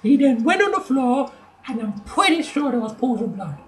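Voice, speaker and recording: A woman's voice: sits high, sing-song, normal speed, a little hiss or hum. A woman playing Greta, a fussy, dramatic elderly English lady with a put-on posh manner in a comedy sketch. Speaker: sketch presenter.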